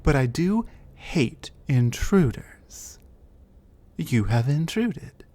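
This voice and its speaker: A large, territorial female cave troll, as a woman plays her in an ASMR audio roleplay. She talks low and slow, with a dark, mellow tone. Her manner is scornful and lecturing. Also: big pitch swings, heavily acted.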